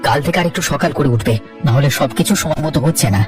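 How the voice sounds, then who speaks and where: A man plays a troubled young cartoon husband. He complains quickly and impatiently in a fairly high voice, with faint music behind. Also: recorded from a distance, natural voice. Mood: impatient, irritated. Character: young married office worker, impatient, frustrated, troubled. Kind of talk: cartoon dialogue acting.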